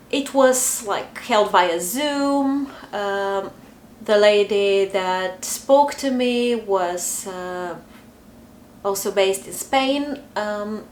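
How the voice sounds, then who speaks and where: Brief: young woman vlogger, Bulgarian accent, hesitant and chatty, roomy echo, faint background hum. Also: a little high. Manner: chatty, hesitant, many fillers. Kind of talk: casual talk to camera. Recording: echoey room, faint ambience.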